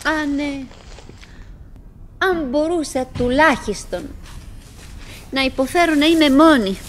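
High-voiced, chattering, fretful wife played by an actress. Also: female voice, lightly acted. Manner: musing, halting, talking to herself and her husband. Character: chattering, fretful, doggedly cheerful middle-aged wife, afraid of solitude. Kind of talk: theatrical radio drama monologue.

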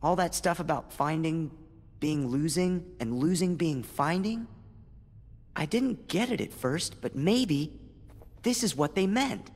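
A man's voice: on the high side, lively intonation, unhurried, a little mellow, long reverb tail. A young man with an American accent playing an earnest, wistful teenage boy hero in a video game. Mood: pensive. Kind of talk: video game cutscene acting.

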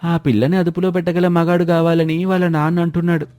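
A man voicing a wily, persuasive village matchmaker in a coaxing, drawn-out way for an animated moral story. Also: a little high, soft background music, pitched above the performer's own voice, lightly acted.

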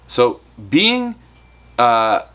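Male lecturer, 30s, lively pitch, bright tone, teaching outdoors on camera. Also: extremely slow, smooth. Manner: animated explaining, demonstrates on guitar and whiteboard. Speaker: psychology lecturer. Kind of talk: recorded lecture. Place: outdoors in a backyard patio and garden.

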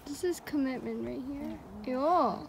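A woman's voice: high voice, measured pace, creaky. A young woman chatting casually as she vlogs outdoors, recorded on a phone held at arm's length. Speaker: travel vlogger. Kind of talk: casual vlog chat. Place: outdoors in open nature. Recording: noisy outdoor phone audio.

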